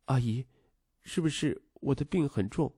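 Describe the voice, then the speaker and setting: A man's voice: medium pitch, animated, moderate pace, a little mellow, husky, dry recording, studio quality. Man voicing a timid, sorrowful young boy. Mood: fearful. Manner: timid, hesitant. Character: timid, guilt-stricken young boy, tender and sad.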